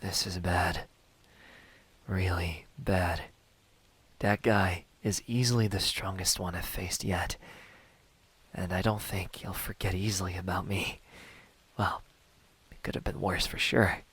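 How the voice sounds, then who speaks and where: A man voicing a wounded, cocky, world-weary demon hunter in a hushed, breathy, sardonic ASMR roleplay. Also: deep voice, steady tone, unhurried, exaggerated voice. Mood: weary, grave. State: in pain from a wound.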